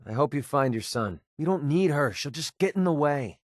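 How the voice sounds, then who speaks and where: A man's voice: on the high side, animated, normal speed, no room sound, studio quality, close to an everyday voice. Man acting a cynical young American mercenary. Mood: dry, sarcastic. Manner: sarcastic, blunt, streetwise. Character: cynical, wisecracking young male mercenary sniper. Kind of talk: video game voice acting.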